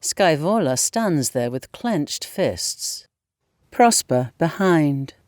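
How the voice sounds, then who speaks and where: A woman's voice: deep voice, very animated, drawn-out words, darkish tone, no room sound, clean studio sound. A woman reading stage directions as the narrator of an audio play.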